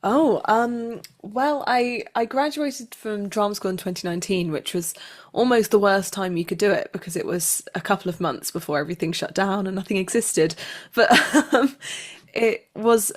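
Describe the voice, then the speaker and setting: A woman's voice: medium pitch, normal speed. A young adult woman chatting casually as an actor being interviewed, giggly and self-deprecating.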